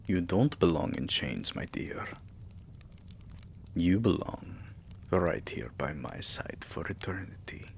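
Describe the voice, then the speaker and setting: Man acting a deep, slow, tender vampire king. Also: big pitch swings, slight background noise, exaggerated voice. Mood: tender, devoted. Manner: soft, breathy, courtly endearments. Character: tender, possessive male vampire king doting on his human pet. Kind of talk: intimate roleplay ASMR, often dropping to a whisper.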